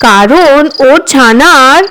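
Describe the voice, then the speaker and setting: A woman with a high-pitched voice narrates a children's moral tale. Her delivery is scripted storytelling, as for an animated fable. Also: slow pace, slight background noise.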